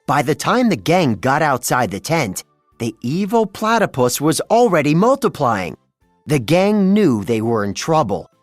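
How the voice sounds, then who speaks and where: A narrator reading a children's read-along storybook in an expressive storytelling manner. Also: mid-range voice, unhurried, no room sound, faint music behind.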